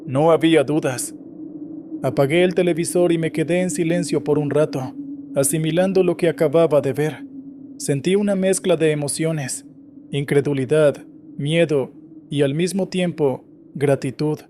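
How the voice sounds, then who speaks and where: A man reading scripted first-person horror stories in a steady, measured way. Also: fairly high pitch, slight background noise. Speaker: horror story narrator.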